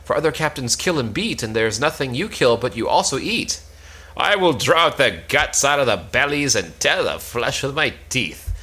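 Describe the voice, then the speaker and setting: A man's voice: mid-range voice, sing-song, normal speed, pushed and bright. A man playing a boastful, bloodthirsty would-be knight in a stage comedy, declaiming in a pompous, bombastic manner.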